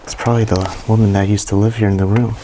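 A young man narrating a walkthrough of an abandoned mansion to a handheld camera, hushed and awed by what he finds. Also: lowish voice, flat delivery, fast talker, background noise. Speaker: urban explorer vlogger. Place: inside a large abandoned mansion.

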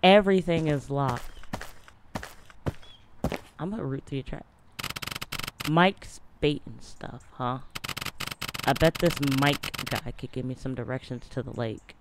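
A young adult gaming streamer with a partly lost voice, chatting casually and reading game text aloud into a close boom mic in a small home room. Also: a little slow, pauses often, background noise. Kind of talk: casual let's-play commentary. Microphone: boom mic on arm, close.

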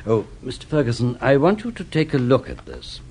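An actor playing a brisk senior police officer with a British accent in a radio drama, formal and probing. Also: male voice, medium pitch, wildly swooping pitch, a little mellow, a little hiss or hum.

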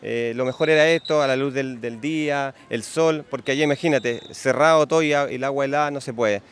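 Sports official, male, forties, Chilean accent, middle pitch, unscripted answers on a handheld mic. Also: brisk, smooth. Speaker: local sports official interviewee. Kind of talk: spontaneous interview answers. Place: outdoors beside a swimming pool. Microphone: handheld interview microphone.

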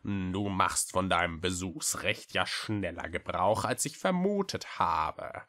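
Weary female witch for an audiobook: very low, drawling, sardonic, reluctant. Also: expressive, a bit bright, heavily acted. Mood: sardonic, put out. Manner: slow, drawling, grudging, sighing. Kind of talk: audiobook character voice.

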